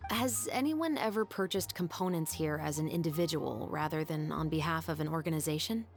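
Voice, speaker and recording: A woman playing a serious, bookish patrol investigator in a video game, speaking in a measured, formal, earnest way. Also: low-pitched, soft background music. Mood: polite, probing.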